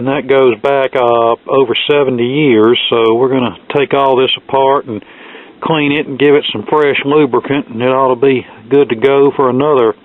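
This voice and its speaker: A man's voice: mid-range voice, narrow, tinny audio. A man talking casually and off the cuff in a folksy way as he narrates hands-on repair work. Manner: folksy, unscripted. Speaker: repair channel host.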